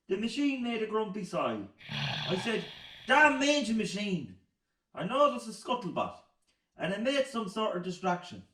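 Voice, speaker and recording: A man's voice: high-pitched, a bit bright. A man playing a disgruntled, hungover Irish factory worker in a radio play, grumbling and swearing emphatically. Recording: roomy, distant from the mic.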